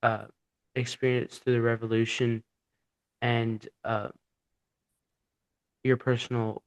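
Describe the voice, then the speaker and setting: A teenage boy hosting a student podcast, introducing a guest and asking halting questions with fillers. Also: mid-range voice, flat delivery, smooth, rough recording.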